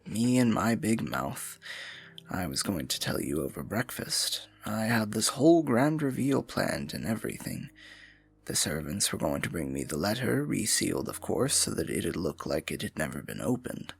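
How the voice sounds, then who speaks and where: A man's voice: sits low, very animated, normal speed, vocal fry, breathy edge, exaggerated voice. A man playing a scheming royal advisor in a fantasy audio roleplay, silky, condescending and falsely sympathetic, often dropping to a murmur. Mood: sly, playful. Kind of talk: fantasy ASMR roleplay acting, intimate and theatrical.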